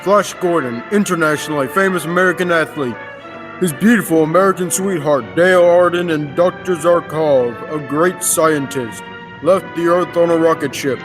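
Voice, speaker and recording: A man's voice: a little high, moderate pace, music in the background. A young man narrating an old-time radio adventure serial from a script. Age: teens to young adult.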